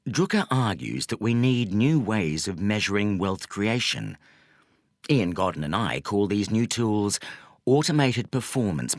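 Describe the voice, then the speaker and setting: A man reading a nonfiction audiobook as narrator, in a scripted style with measured, deliberate phrasing. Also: fairly low pitch, fairly bright tone, no room sound, studio quality.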